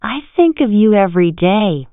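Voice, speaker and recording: A woman reading English example sentences clearly for learners. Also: medium pitch, lively intonation, moderate pace, no room sound. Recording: tinny, phone-like band.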